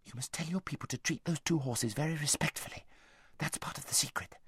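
A man voicing a fierce, serious young noble girl in a storybook, with urgent, breathy, hissed delivery. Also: fairly high pitch, brisk, a bit bright, no echo, studio quality, pitched above the performer's own voice. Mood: anxious, serious. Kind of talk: audiobook character voice.

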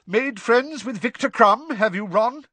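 A man's voice: very high voice, animated, unhurried, pushed and bright, no echo, pitched above the performer's own voice, exaggerated voice. A man voicing a pompous, officious young man in a storybook. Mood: self-satisfied.

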